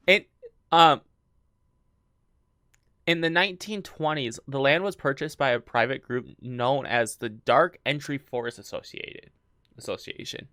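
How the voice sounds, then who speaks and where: A man's voice: mid-range voice, unhurried, pauses often, sharp tone, no room sound, studio quality. A man co-hosting a casual podcast, reading out an account, stumbling over words and laughing often. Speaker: podcast co-host. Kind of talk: casual chat, reading a story.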